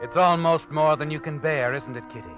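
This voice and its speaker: An actor playing a sinister, all-knowing narrator of a 1940s-style radio suspense drama, speaking in a deliberate, knowing manner. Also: male voice, on the high side, lightly acted. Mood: knowing, ominous. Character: omniscient sinister narrator taunting the guilty character. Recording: muffled old radio broadcast recording. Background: dramatic brass music.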